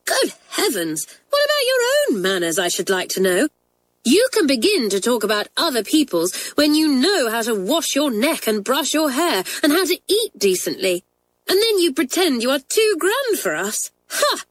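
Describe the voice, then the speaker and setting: An impatient schoolgirl from a children's storybook, acted out by a woman narrator. She is blunt, scornful and quick to scold, with a high, very animated delivery. The sound is close and dry. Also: dry recording. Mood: raging. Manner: exclamatory, scolding, emphatic.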